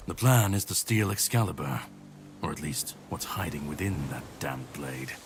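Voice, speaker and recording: An actor playing a grim, world-weary warrior on a mission in a video game, speaking in a grave, measured way. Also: male voice, a bass voice, expressive. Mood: businesslike, conspiratorial. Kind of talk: dramatic video game dialogue acting. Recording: clear background noise and ambience.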